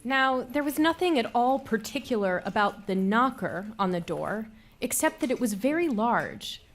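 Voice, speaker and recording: A woman with a fairly high voice serves as narrator. She delivers scripted storytelling for a live radio play. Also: lively intonation, moderate pace, faint music behind.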